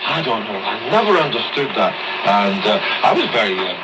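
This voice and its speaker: A man's voice: lowish voice, sing-song, a little fast, heavy background noise. A man hosting a casual radio show in a rambling, hesitant way, on a shortwave radio broadcast. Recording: shortwave radio broadcast, loud music underneath. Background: loud music.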